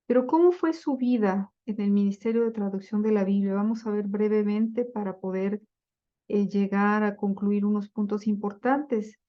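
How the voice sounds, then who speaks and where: A woman giving a slide-based lecture on Bible translation, speaking deliberately with frequent fillers and self-corrections. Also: medium pitch, fairly even intonation, lo-fi sound.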